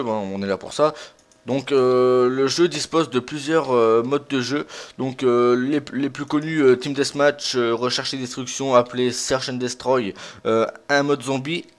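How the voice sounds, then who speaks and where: A young man hosting a gaming video, commenting casually in an informal, rambling, self-deprecating way. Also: mid-range voice. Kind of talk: casual let's play commentary.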